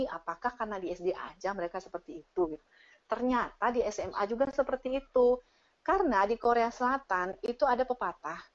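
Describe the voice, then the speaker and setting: A woman giving casual, animated explanations as she answers audience questions on an online call. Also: medium pitch. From Indonesia. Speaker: teacher and speaker sharing her experience. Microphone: online video call. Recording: dull, lo-fi online meeting audio.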